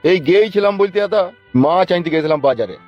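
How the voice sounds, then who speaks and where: Man doing a chatty, quick-talking older village uncle. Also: high voice, lively intonation, soft background music. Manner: familiar, inquisitive. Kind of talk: cartoon comedy acting. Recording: dull lo-fi cartoon audio.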